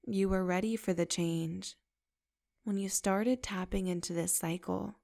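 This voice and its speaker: A woman leading a guided meditation in a soft, unhurried, affirming way. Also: medium pitch, fairly even intonation, creaky.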